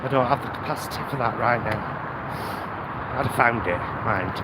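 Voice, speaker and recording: Man vlogging over loud street noise. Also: medium pitch, moderate pace. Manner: rambling, musing, talking to himself with rhetorical questions. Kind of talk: casual walk-and-talk vlog, thinking aloud. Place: outdoors, walking by roads. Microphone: phone on a selfie stick. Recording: loud wind and traffic noise. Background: cars, wind, urban outdoor noise.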